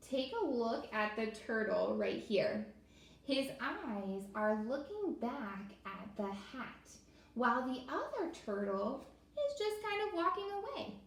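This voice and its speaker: Higher-voiced woman teacher in an echoey room. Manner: encouraging, addresses children directly with questions. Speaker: elementary school teacher. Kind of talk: interactive read-aloud lesson for young children. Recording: echoey room with faint noise.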